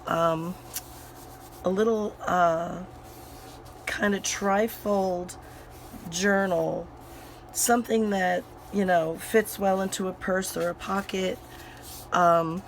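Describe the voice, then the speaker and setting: A woman hosting a crafting channel and chatting casually while she works. Her voice is hoarse and still recovering, and she rambles with many fillers and restarts. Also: fairly low pitch, slight background noise, rough recording. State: hoarse, voice recovering from sinus infection.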